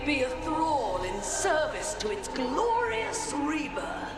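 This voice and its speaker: An actress playing a fanatical blood mage cult leader in a fantasy video game, grandiose, commanding and theatrical. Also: female voice, sits high, very animated, slow, heavily acted. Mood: grandiose, seductive. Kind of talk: video game villain acting. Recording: echoing, distant, under loud dramatic music.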